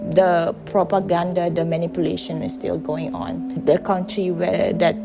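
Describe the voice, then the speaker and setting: A woman's voice: on the low side, normal speed, over music. A woman from Malaysia, a native Malay speaker with a Malaysian accent, talking straight to camera in a casual vlog, blunt and profane with heavy emphasis. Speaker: vlogger. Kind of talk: casual direct-to-camera monologue.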